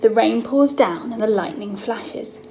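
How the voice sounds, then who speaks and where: A young adult woman reading a story to small children in an expressive, drawn-out way, as host of a children's Bible story time. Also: fairly high pitch. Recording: noticeable background noise, homemade recording.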